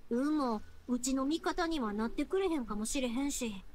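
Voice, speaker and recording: A woman voicing a bright, earnest young fireworks maker in an anime game, heartfelt and folksy, with a Kansai accent. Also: high voice, normal speed, slight background noise, close to an everyday voice. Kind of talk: Japanese anime game voice acting.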